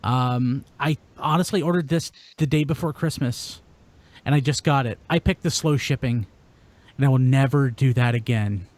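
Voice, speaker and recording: A man hosting a casual podcast, chatting in an animated, exclamatory way. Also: medium pitch, moderate pace. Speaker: podcast host. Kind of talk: casual toy-news podcast chat.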